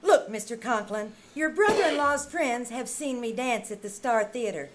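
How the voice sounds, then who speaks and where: A woman's voice: a little high, expressive, moderate pace, noisy background, lightly acted. A woman playing a coy, sassy dancer who is brazenly businesslike, in an old-time radio comedy. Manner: coy, suggestive, teasing.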